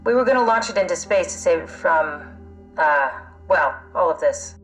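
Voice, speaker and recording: A woman's voice: lowish voice, animated, normal speed, a bit bright, soft background music. An actress playing a wry, pragmatic woman scientist in a video game, with a matter-of-fact manner. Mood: explanatory.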